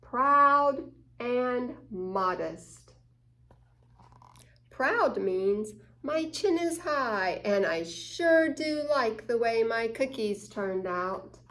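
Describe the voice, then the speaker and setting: A woman's voice: fairly high pitch, animated, drawn-out words, fairly bright tone. A woman hosting a children's story time, reading a picture book aloud and explaining it with questions to the young listeners.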